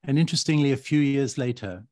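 A man's voice: medium pitch, darkish tone, no echo, clean studio sound. Reflective middle-aged male guest. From grew up in Uganda and Kenya. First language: Swahili. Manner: reflective, measured, with hedges. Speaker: guest architect. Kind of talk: podcast interview.

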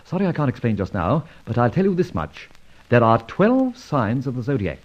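Suave, husky gentleman adventurer. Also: mid-range voice, very animated, moderate pace. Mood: brisk, assured. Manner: urbane, wordy, mock-formal, teasing. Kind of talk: old radio serial acting and narration. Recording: dull old radio serial recording.

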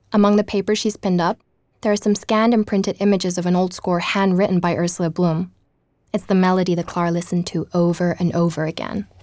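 A woman playing an earnest investigative journalist, narrating a podcast-style audio drama in a measured, inquisitive way. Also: lowish voice, darkish tone, no echo, natural voice. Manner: inquisitive, measured storytelling with rhetorical questions.

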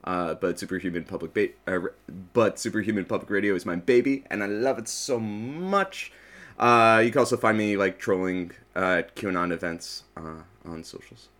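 Deep-voiced man, joking and halting. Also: fairly bright tone. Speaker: podcast guest, show creator. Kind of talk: casual interview talk.

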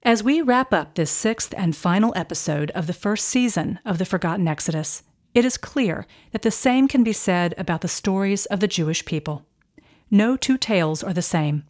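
A woman host giving scripted documentary narration in a steady, composed delivery. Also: medium pitch, moderate pace. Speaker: podcast narrator.

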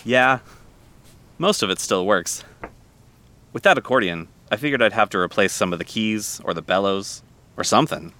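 An actor playing a chatty, easygoing cafe owner in an audio drama, talking casually. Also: male voice, on the low side, normal speed, pauses often, bright, forceful tone. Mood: relaxed, chatty. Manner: casual, conversational. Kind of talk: naturalistic audio drama acting.

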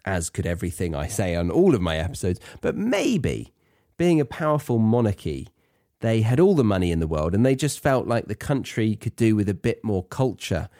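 A British man with a fairly low, mellow voice that swings expressively up and down. He talks like a solo podcast host, chatty and playful, slipping in tongue-in-cheek asides. The sound is close and dry. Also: dry recording. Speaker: podcast host teaching English to non-native learners. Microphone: close podcast microphone in a dry room.

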